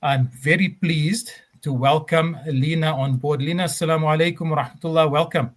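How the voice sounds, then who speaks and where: A man with a fairly high voice hosts a live stream. He delivers a rhetorical opening with repeated phrases, then talks more loosely with ums as he introduces his guests. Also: steady tone, a little fast. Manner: fillers and uh, um in unscripted talk. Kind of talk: delivering a scripted opening speech, then hosting.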